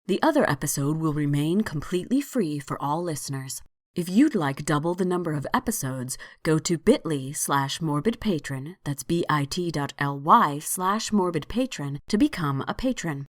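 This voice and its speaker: Low-voiced woman hosting a podcast. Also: expressive, normal speed, dry recording, studio quality. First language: not French. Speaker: podcast host. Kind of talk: scripted solo narration.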